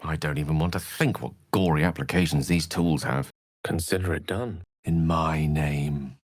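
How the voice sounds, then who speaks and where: A man's voice: very deep voice, normal speed, dry recording, clean studio sound. An actor playing an erudite, witty and self-assured wizard in a video game, eloquent and theatrical in his wordy delivery. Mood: measured, scholarly. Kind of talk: video game character acting.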